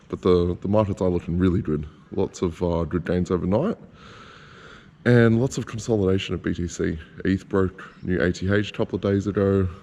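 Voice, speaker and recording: Croaky low guy chatting for his vlog, dark gravelly voice. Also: big-room sound. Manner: relaxed, chatty, loose delivery. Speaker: vlogger. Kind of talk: casual vlog chat.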